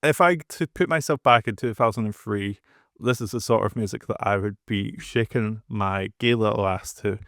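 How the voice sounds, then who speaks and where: A man's voice: on the low side, normal speed, fairly bright tone, dry recording, clean studio sound. A man hosting a podcast, chatting casually and sharing his opinions. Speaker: podcast host.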